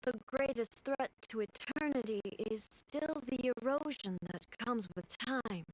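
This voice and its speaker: An actress voicing a stern, composed female ruler in a video game, speaking formally and with measured command. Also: a little high, animated, rough recording. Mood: calm, reflective. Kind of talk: scripted video game voice acting. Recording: game dialogue over background music.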